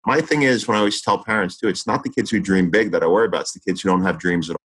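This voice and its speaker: Deep-voiced earnest man in his forties. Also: racing, fairly bright tone, husky. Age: 40s. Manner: earnest, reflective, many restarts and fillers. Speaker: teacher. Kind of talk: interview conversation.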